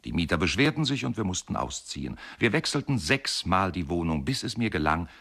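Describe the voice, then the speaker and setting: A rueful, wry husband tells his story in a radio play. His voice is deep. The delivery is dry and digressive, like a literary monologue. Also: big pitch swings, fairly quick, gravelly, a bit bright, husky, exaggerated voice.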